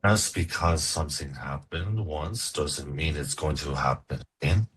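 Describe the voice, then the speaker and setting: An actor playing a gentle, coaxing farm suitor, tender and a little forward, in a romcom table read. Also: male voice, a bass voice, measured pace, husky, exaggerated voice. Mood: reassuring.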